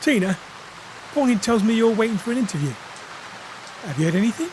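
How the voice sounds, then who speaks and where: A man acts an earnest, easygoing bloke in a comedy audio drama. His voice sits high and swings about in pitch, naive and a touch defensive, with rain-like noise behind it. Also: animated, fairly quick. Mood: friendly. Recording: clearly noisy, rain-like background.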